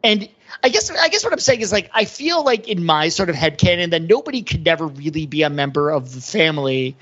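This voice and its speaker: A man co-hosting a podcast, discussing film casually and slipping into animated retellings. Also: on the high side, fairly quick, muffled recording. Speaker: podcast host.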